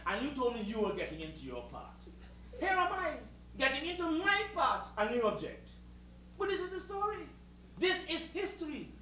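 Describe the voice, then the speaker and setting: An actor playing a proud, meticulous West Indian servant with a Caribbean accent, making formal, emphatic speeches in a theatrical style. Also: male voice, very high-pitched, bright, forceful tone, heavily acted. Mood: indignant. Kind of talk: theatrical two-hander stage acting. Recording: roomy distant stage recording with hiss.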